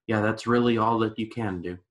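A man's voice: on the low side, fairly even intonation, fairly quick. A man guesting on a casual podcast, enthusiastic and repetitive, full of "like" fillers. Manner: enthusiastic, repeats himself, many "like" fillers.

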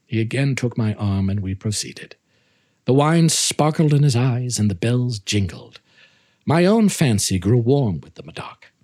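A man giving a theatrical, deliberate literary reading of a Gothic story as narrator. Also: medium pitch, a little mellow.

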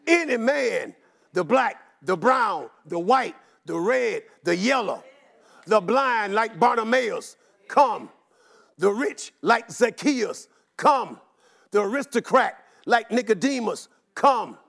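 High-voiced, gravelly shouting preacher man. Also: expressive, takes it slowly. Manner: rhythmic chanting preaching with shouted climaxes; repeats one call in long lists. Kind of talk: sermon.

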